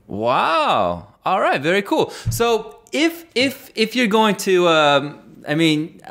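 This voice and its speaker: Male interviewer, playful and joking, lively pitch, rather high voice, casual questions. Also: takes it slowly. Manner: playful, joking, many restarts. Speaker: interviewer from the game's team.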